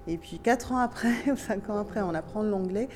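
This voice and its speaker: A woman from Tunisia whose first language is Tunisian Arabic, speaking French with a light Tunisian accent and a slightly nasal tone, giving thoughtful, hesitant interview answers with many pauses and restarts. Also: mid-range voice, darkish tone, slight background noise.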